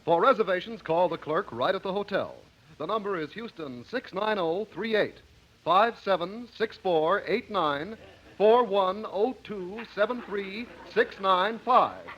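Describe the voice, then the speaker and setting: An actor playing a formal, straight-faced announcer reading a sponsor plug in a radio comedy. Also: male voice, high voice, a little slow. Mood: deadpan. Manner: formal, deadpan. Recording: dull, faintly noisy 1950s radio recording.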